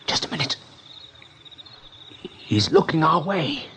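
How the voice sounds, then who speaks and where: A man's voice: fairly high pitch, very animated, slow, background noise. A man playing a brave but cautious young rabbit leader, earnest and guarded, in an eighties radio drama. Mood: hushed alert. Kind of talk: 1980s radio drama acting.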